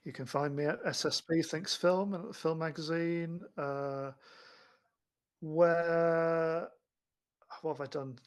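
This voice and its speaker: A man co-hosting a film podcast, chatting casually in a halting way, repeating words as he goes. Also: fairly high pitch, fairly even intonation, smooth.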